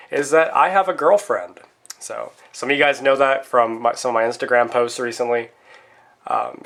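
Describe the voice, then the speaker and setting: Man, bright tone, stuffy nose, lingering cough, casual rambling vlog chat. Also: medium pitch. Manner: rambling, talks straight to viewers as guys. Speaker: vlogger reviewing pocket knives. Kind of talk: casual chatty product vlog.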